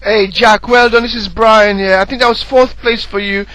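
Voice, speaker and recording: A man's voice: high-pitched, steady tone, moderate pace, bright, forceful tone, a little hiss or hum, poor audio. A man co-commentating on a sim race in a casual, matey way, chatting and putting questions to others. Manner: informal, matey.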